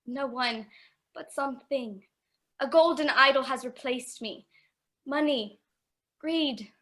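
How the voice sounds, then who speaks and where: A woman's voice: high-pitched, fairly bright tone. A woman in a radio play playing a poor young fiancée who speaks with sorrowful, earnest resolve. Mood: sorrowful, resolute. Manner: earnest, measured, plaintive.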